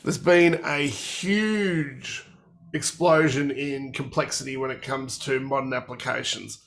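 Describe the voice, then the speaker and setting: A man with a cold and a croaky, gravelly voice, presenting a technical workshop and walking through product features. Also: mid-range voice, takes it slowly, fairly bright tone.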